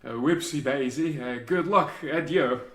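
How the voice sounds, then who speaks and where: Chirpy miner, echoey. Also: male voice, a little high, unhurried, fairly bright tone. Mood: breezy. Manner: chirpy, awkwardly polite. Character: cheerful, apologetic Swedish male mining team leader. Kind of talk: old-time radio comedy acting. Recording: echoey, noisy with clicks.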